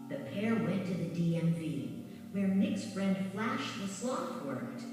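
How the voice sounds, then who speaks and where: A woman reading a scripted children's story in a measured, dramatic storytelling manner. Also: mid-range voice. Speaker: storybook narrator. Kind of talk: scripted children's read-along narration. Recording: very echoey, distant, music behind. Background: music.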